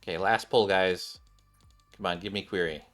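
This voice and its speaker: A man with a fairly low, bright voice hosts a gaming video. He comments casually and chattily on his play, speaking directly to his viewers. Faint game music runs behind him. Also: steady tone, moderate pace. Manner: chatty, addresses viewers as guys.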